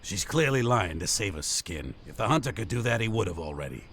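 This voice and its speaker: A man's voice: lowish voice, very animated, a little fast, fairly bright tone, slight background noise. A man playing a scheming, theatrical bandit ringleader in a fantasy visual novel. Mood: menacing, smug. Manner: theatrical, pleading then threatening. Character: scheming bandit ringleader posing as frantic merchant.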